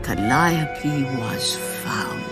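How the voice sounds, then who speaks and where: A woman playing a solemn, all-knowing storyteller of Greek myth, narrating a video game in a measured, portentous way. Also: rumbling low, animated, exaggerated voice. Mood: grave, epic. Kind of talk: scripted dramatic game narration. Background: loud dramatic music.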